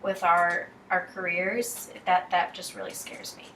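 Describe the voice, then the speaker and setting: A young woman speaks softly and hesitantly, with a bright edge to her voice. She sits away from the mic in an echoey room, with faint hiss behind her. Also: fairly low pitch, little pitch movement, measured pace, recorded from a distance. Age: young adult. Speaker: student athlete. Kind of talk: casual conversation.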